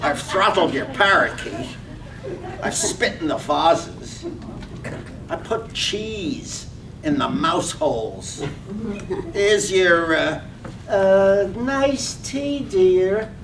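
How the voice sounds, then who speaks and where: A woman's voice: fairly low pitch, animated, extremely slow, pauses often. A woman playing a henpecked husband in a poetic play, outwardly meek and solicitous, secretly murderous. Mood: sly, meek. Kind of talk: poetic radio play read aloud on stage. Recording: distant mic, noticeable room noise.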